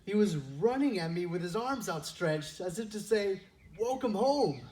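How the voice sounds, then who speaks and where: A man playing a humbled, yearning prodigal son in a church reader's theater skit, telling his story earnestly with dramatic pauses. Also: high voice, expressive, a little mellow. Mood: amazed. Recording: distant mic, noisy room.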